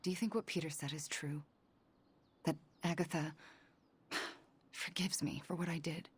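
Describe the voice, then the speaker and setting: Low, hushed, guilt-ridden sorceress. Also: female voice, normal speed. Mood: guilty, grieving. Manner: hushed, sighing, breathy on heavy words. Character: guilt-ridden young sorceress, self-loathing and fragile. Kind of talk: video game voice acting, naturalistic drama.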